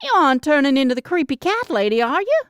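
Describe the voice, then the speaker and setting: A woman's voice: high-pitched, sing-song, fast, no echo, voice pushed up. A woman voicing a bossy, teasing younger sister and busy mom in an audiobook, emphatic and argumentative. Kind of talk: audiobook character dialogue.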